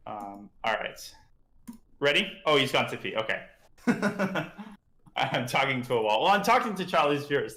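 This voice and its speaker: A man's voice: mid-range voice, bright, forceful tone, roomy sound, slight background noise. A man coaching chess on a livestream, chatting casually and teasing, with a slightly parched throat.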